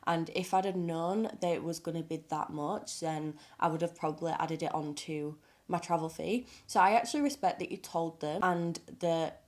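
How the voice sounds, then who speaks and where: A woman's voice: low-pitched, moderate pace, darkish tone. A woman, a makeup artist, chatting in a casual reaction vlog, blunt and outspoken, sounding nasal from a cold.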